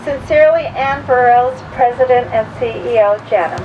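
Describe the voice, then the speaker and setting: A woman reading a formal statement aloud in a measured way, occasionally stumbling over words. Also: on the high side, dull audio. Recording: clear background noise.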